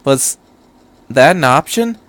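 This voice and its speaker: A man voices an anxious, polite teenage boy from a story. He is tentative and halting, with a medium pitch. The sound is close and dry. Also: animated, no room sound. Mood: uneasy.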